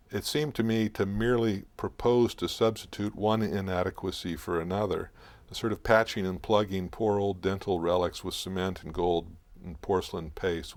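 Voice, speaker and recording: Low, creaky-voiced man explaining haltingly. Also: no room sound. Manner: halting, deliberate, scholarly explaining. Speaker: author and expert guest. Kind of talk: informal interview, explaining.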